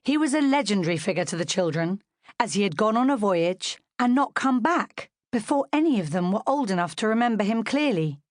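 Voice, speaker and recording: A British woman narrates a storybook as a scripted audiobook. Her voice sits at a middling pitch, and the recording is dry, with very little room around it. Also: expressive, brisk, no echo.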